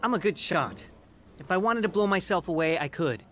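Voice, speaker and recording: A man's voice: high-pitched, animated, fairly quick, fairly bright tone, slight background noise. An actor playing a troubled, searching young man who tells his own story earnestly in a radio drama. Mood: guarded. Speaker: narrator and lead actor. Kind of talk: dramatized first-person radio testimony. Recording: music behind the voice.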